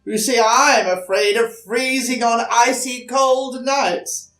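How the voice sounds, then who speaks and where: Woman doing a big, loud, growling polar bear. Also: a little high, fairly even intonation, voice pushed up. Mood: gruff, confiding. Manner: loud, emphatic, drawn-out. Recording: roomy echo, distant mic.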